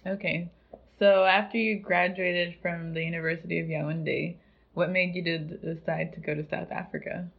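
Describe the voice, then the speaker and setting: Gravelly woman on a tinny line. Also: lowish voice, little pitch movement, moderate pace. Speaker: podcast host. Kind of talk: podcast interviewing. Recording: tinny phone-like sound.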